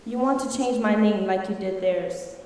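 A woman delivers a spoken word poem with emphatic, rhetorical force. Her voice sits at a middling pitch. It rings out in a large hall with a long, heavy reverb. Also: moderate pace, darkish tone, slight background noise. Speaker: student poet. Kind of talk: spoken word poetry recital.

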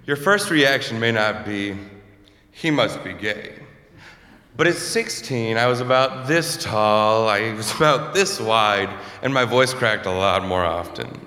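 Low-voiced young man in an echoey hall. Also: expressive, sharp tone. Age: young adult. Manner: earnest, candid, measured. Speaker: student speaker. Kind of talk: personal storytelling speech. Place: lecture hall. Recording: echoey hall with long reverb.

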